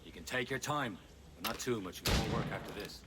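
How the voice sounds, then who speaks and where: A man voices a confident trainer who teases and pushes his pupil. His voice sits a bit low, with rural outdoor ambience behind. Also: a little fast, heavy background noise, close to an everyday voice. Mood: teasing. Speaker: game character. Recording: game audio with outdoor ambience.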